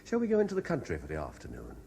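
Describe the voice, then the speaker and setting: A man's voice: medium pitch, wildly swooping pitch, very fast, mellow, a little hiss or hum. An actor with a British accent playing an earnest, troubled man in love, hesitant and probing, in a 1970s radio drama. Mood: tender. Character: earnest, lovestruck, troubled Frenchman, inquisitive and hesitant.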